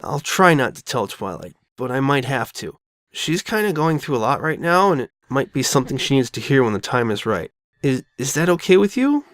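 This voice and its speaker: A man's voice: mid-range voice, big pitch swings, normal speed, fairly bright tone, dry recording, clean studio sound. A man voicing a caring, perceptive young boy dragon with a casual, direct, youthful manner in a dramatic fan fiction reading. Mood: caring, frank.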